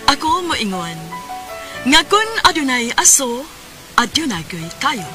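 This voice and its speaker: Woman announcer, measured slow recitation, fairly high, expressive, scripted drama intro. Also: music in the background. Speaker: radio programme announcer.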